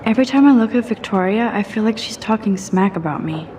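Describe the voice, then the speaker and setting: A woman's voice: medium pitch, lively intonation, fast, darkish tone, slight background noise, close to an everyday voice. A young woman acting a shy, self-doubting, sarcastic teenage student in a video game, talking introspectively to herself. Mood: annoyed, sarcastic.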